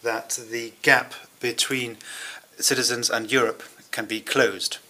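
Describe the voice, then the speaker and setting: A man interpreting a German speech into English at a conference, with measured, hesitant phrasing and pauses. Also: mid-range voice, level tone, bright, forceful tone, a little hiss or hum.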